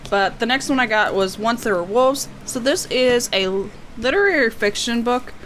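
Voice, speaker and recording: A woman's voice: fairly high pitch, animated, normal speed, fairly bright tone. A woman book vlogger talking casually in a rambling, self-deprecating way, often drifting into tangents. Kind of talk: casual chatty unboxing vlog. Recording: clear background noise.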